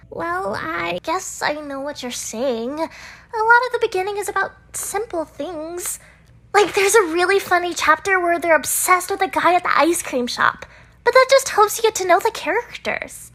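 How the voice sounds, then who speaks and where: A woman's voice: extremely high pitch, a bit bright, heavily acted. A woman voicing a touchy, bossy teenage schoolgirl manga fan in a visual novel dub, defensive and huffy.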